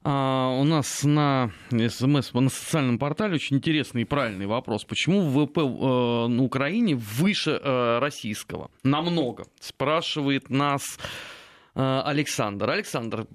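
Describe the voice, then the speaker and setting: A man hosting a talk show, reading out a viewer's question and answering in a measured way with frequent hesitations. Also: mid-range voice, animated. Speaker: host.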